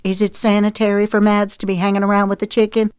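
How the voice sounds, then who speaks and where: A woman's voice: medium pitch, monotone, fast, a little mellow, clean tone, no echo, studio quality, lightly acted. Woman as an incredulous, exasperated grown daughter. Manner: questioning, indignant, emphatic.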